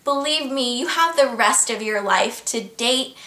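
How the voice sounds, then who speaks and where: A young woman with an American accent talks to her camera at home, answering viewer questions in a chatty, earnest and upbeat way. Her voice sits a little high and sounds crisp and bright. The room around her rings with noticeable echo. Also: animated, a little slow. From United States. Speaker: vlogger. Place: at home, indoors.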